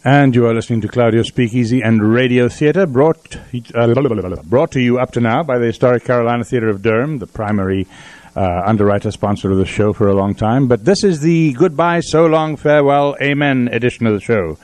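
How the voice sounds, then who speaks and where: A man's voice: medium pitch, a little fast, a little mellow, no room sound. A man hosting a community radio show in a casual, conversational style.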